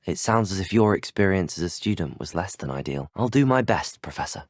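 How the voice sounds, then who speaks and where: A man's voice: low voice, expressive, fairly quick, no echo, studio quality. An actor playing an earnest, courteous young male student wizard in a video game, speaking formally with a British accent. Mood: polite, earnest. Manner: formal, well-spoken, courteous. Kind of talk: scripted video game voice lines.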